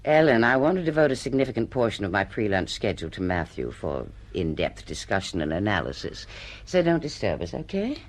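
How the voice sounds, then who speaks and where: An actress playing a ruthless, brash publishing executive in a radio drama, blunt, domineering and full of business jargon. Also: female voice, very deep voice, big pitch swings, fairly quick, full character voice. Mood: brisk, brazen.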